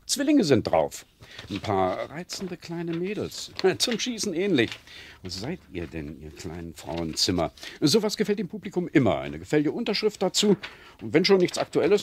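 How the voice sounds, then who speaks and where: An actor playing a jovial, bossy middle-aged magazine editor in a radio play, florid, teasing and theatrical. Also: male voice, a little high, slight background noise. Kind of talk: German radio play acting.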